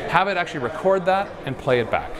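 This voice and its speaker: A man's voice: high-pitched, animated, fast. A man giving a live product demonstration in an upbeat, playful showman's manner. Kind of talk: live stage demo presentation. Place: busy trade show floor. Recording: loud crowd noise behind. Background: convention crowd.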